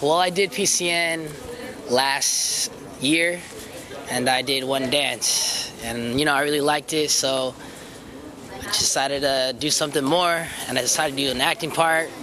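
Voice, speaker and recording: A man's voice: mid-range voice, steady tone, a little slow. A young man speaking casually in an interview as a student actor. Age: young adult. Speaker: student actor with a lead role. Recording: clear crowd chatter behind.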